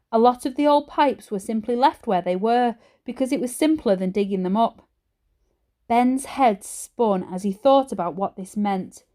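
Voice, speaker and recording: A woman teacher reading a children's story aloud in an expressive storytelling style. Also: a little high.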